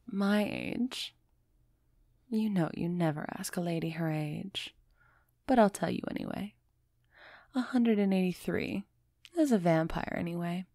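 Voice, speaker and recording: A woman playing a refined, centuries-old female vampire in an intimate audio roleplay, gently imperious and softly teasing as she speaks straight to the listener. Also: lowish voice, dry recording, clean studio sound. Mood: playful. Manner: slow, measured, softly teasing, addressing listener directly.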